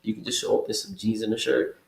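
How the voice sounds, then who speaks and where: A man's voice: fairly low pitch, little pitch movement, fast, creaky. A young man from Kenya talking as a guest in a casual interview, loose and conversational with many fillers and restarts. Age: young adult. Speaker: guest, community program worker. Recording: roomy echo.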